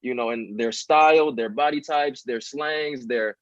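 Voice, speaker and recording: A man's voice: medium pitch, unhurried, dry recording. A twenty-year-old man speaking earnestly and from the heart as a podcast guest, often adding "you know" and "um". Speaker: podcast guest, student nonprofit founder. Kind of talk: casual conversational interview. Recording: dry, dull lo-fi sound.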